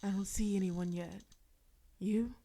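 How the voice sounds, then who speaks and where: A woman's voice: mid-range voice, fairly even intonation, measured pace, vocal fry, dark tone. A woman voicing a bold, flirtatious female mafia boss in an audio roleplay. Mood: teasing. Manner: teasing, flirtatious. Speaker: voice actress.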